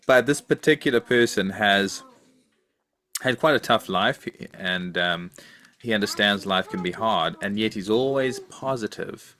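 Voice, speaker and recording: Lower-pitched man in thoughtful podcast chat. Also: measured pace, bright, forceful tone. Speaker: podcast co-host. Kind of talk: casual chat.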